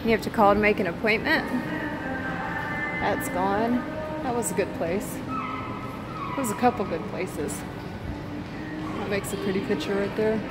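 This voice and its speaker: Slow, wistful woman musing on a walk. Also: mid-range voice, rough recording. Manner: wistful, musing aloud with soft hums. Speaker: vlogger. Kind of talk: walking tour vlog. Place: inside an empty shopping mall. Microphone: phone camera held while walking.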